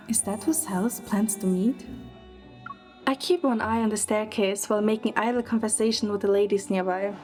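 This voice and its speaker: Mid-pitched woman narrating an audio drama. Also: a little mellow. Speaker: narrator and lead character. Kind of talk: scripted first-person audio drama narration and acting.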